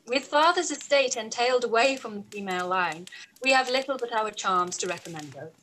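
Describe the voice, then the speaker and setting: Woman as a bright, witty young gentlewoman. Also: a little high, expressive, a little fast. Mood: affectionate, frank. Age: young adult. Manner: arch, playful. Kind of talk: play read-through, period drama. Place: indoor room by a window, over video call. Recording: noisy video-call audio.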